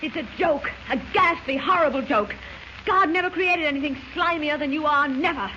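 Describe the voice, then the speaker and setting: A woman's voice: high voice, normal speed, sharp tone. An actress playing a scornful, defiant young captive woman, biting and indignant in 1940s radio style. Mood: bitter scorn. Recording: muffled, hissy old radio recording.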